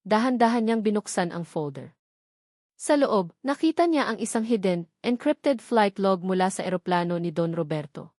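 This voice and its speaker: A woman's voice: mid-range voice, a little mellow, dry recording, studio quality. A woman reads a scripted, dramatic story as a narrator.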